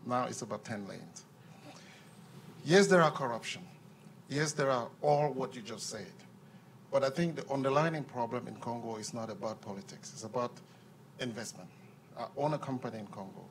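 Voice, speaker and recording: An earnest man from Cameroon speaks, taking the floor during a panel Q&A in a conference room. He holds a handheld microphone and often repeats words as he restarts a sentence. The voice sits at a middle pitch, with a faint hiss of room noise behind it. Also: moderate pace, long pauses. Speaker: audience member at a panel Q&A. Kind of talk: impassioned floor comment.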